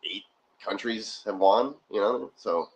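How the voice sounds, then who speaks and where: A man co-hosting a casual sports watch-party livestream from his garage, chatty and quick to laugh. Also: on the low side, dull audio. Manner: laughs often, rambling and chatty. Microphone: phone.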